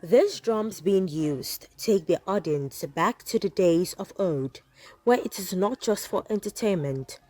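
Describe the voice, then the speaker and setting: A woman's voice: lowish voice, mellow, no echo. A woman narrating a scripted television news report. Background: music.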